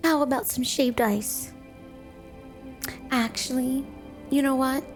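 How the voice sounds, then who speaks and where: A woman's voice: sits high, fairly even intonation, takes it slowly, soft background music. A woman voicing a flirty, clingy yandere snow woman spirit in an ASMR roleplay, speaking playfully and coyly to the listener as a doting wife. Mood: sweet, coaxing. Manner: playful, coy, doting, teasing.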